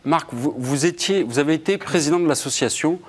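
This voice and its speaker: A man's voice: fairly high pitch, fairly quick, slightly rough. A man hosting a talk show, who interviews his guests and sums up what they say. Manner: leading, summarizing questions.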